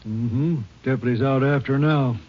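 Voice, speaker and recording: Man doing a husky sheriff, laid back, muffled old radio sound. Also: medium pitch, normal speed, natural voice. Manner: laconic, matter-of-fact. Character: small-town sheriff, easygoing and agreeable. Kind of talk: 1950s radio drama acting. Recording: muffled vintage radio recording.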